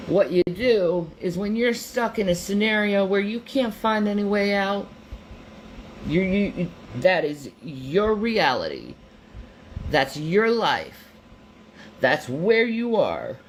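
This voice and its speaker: A woman's voice: medium pitch, very animated, slow pace, a little hiss or hum. A woman giving an earnest motivational monologue, emphatic and repeating herself.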